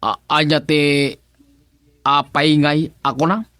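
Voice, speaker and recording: A man's voice: on the high side, level tone, slow pace, fairly bright tone, no echo. A man playing a simple, jolly bachelor gardener in an Ilocano comedy radio drama, with chuckling, humming, singsong comic talk. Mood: teasing. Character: simple, jolly bachelor gardener fussing over his plants.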